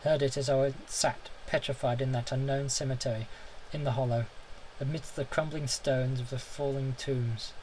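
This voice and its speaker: A man's voice: mid-range voice, steady tone, moderate pace, hardly any pauses, a little mellow, a little hiss or hum, low-quality recording. A man narrating a horror story in the first person, intense and theatrical, now and then stumbling over a word. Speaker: audiobook reader.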